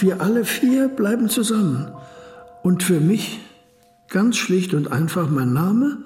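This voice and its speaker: An actor playing an elderly retired Chinese scholar in a radio drama monologue, speaking in a measured, reflective and contented way. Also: male voice, high-pitched, darkish tone. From China. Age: 80s. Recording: roomy echo, music behind.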